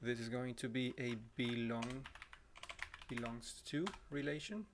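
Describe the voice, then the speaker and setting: A man in his thirties from Costa Rica with a Spanish accent, walking through live code at home on a boom mic, apologetic and self-correcting, his throat a little scratchy. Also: steady tone, unhurried, vocal fry. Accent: Costa Rican Spanish. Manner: apologetic, talks while typing, self-correcting. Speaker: livestream coding instructor. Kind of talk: live coding walkthrough. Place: small room at home. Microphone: boom mic on arm.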